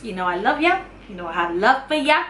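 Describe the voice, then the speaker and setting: Woman, 20s, chatty YouTuber, bright tone, roomy echo, faint room hiss. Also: medium pitch, very animated, a little fast. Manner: chatty, repeats phrases, earnest and encouraging. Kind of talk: casual advice vlog to camera. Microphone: camera mic.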